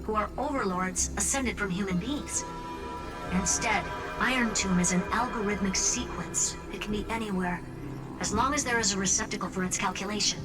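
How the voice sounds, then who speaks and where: A woman's voice: mid-range voice, moderate pace, natural voice, poor audio. An actress playing a confident, teasing woman genius in a video game, speaking with wry self-assurance. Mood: grave, emphatic. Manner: wry, self-assured, talking down playfully to the listener. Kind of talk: video game dialogue voice acting. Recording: loud music bed under voice. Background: background music.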